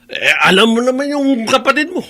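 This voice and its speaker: An actor playing a sly, gleeful accomplice in a Tagalog radio drama, with a snickering, gossipy manner. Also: male voice, extremely high pitch, very animated, a little fast, sharp tone, heavily acted.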